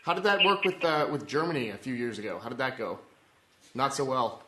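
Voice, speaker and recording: A man's voice: medium pitch, big pitch swings, a little fast, crowd noise, close to an everyday voice. A man playing an indignant, patriotic Cold War who argues in a stammering, self-righteous way. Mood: smug. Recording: roomy, echoey sound.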